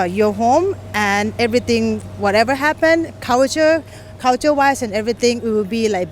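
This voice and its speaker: A woman's voice: a little high, a little hiss or hum. Woman from Thailand, hesitant casual talk. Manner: searching for words, with repeats. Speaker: interviewee, expat. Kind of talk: casual answers.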